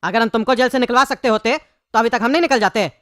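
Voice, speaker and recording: Woman-voiced grumbling, fast-talking cartoon pumpkin prisoner. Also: mid-range voice, a bit bright, no echo, clean studio sound, lightly acted. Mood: resentful. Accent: eastern Indian (Bihari-style) Hindi. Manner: querulous, complaining, haggling. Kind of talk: comedy cartoon dialogue. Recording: clearly noisy background.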